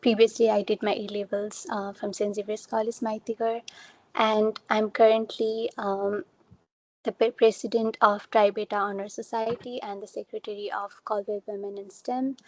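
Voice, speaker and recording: Young woman from Nepal, online presentation, monotone, dry close sound, step-by-step explaining. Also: mid-range voice, normal speed, dry recording, muffled recording. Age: young adult. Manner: explains step by step with examples and fillers. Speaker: student researcher giving a talk. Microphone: computer mic on a video call.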